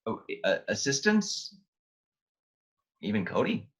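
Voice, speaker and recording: A man playing a bewildered, exasperated young research assistant in an audio drama, incredulous and halting, on a lo-fi recording. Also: on the low side, wildly swooping pitch, a bit bright, heavily acted. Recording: dull lo-fi sound.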